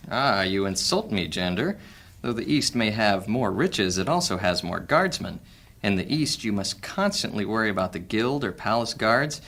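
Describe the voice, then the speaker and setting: An actor playing a cunning, guarded male master thief in an audio drama, speaking in a measured, sly way. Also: lowish voice, animated, a bit bright.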